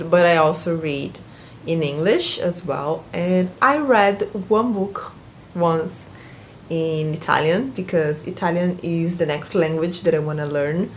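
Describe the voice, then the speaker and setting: A woman's voice: lowish voice, slight background noise. A young woman from Brazil with a Brazilian Portuguese accent, speaking English in a casual book vlog, hesitant, with many restarts. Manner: hesitant, many restarts and fillers, warm laughs between thoughts. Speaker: booktuber.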